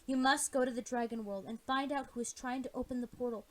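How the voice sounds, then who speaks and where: A woman's voice: high voice, steady tone, fast talker, natural voice. Woman as a solemn, regal pony princess.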